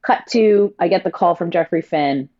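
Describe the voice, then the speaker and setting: Woman, stage actress, effusive, rapid asides, dull recording. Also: lowish voice. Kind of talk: casual interview chat.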